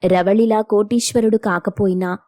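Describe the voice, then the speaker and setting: A woman narrator delivers a scripted moral tale. Her voice is mid-pitched for a woman. The recording is dry and close, without room sound. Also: fairly even intonation, moderate pace, warm tone, dry recording.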